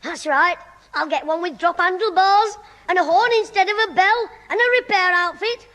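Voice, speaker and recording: Very high-pitched cheeky Northern schoolboy. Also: male voice, normal speed, bright, forceful tone. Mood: eager, cheeky. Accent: Northern English. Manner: cheeky, wisecracking. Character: cheeky mischievous schoolboy, quick with gags. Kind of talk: 1960s radio sitcom acting. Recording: old hissy, reverberant 1960s radio recording.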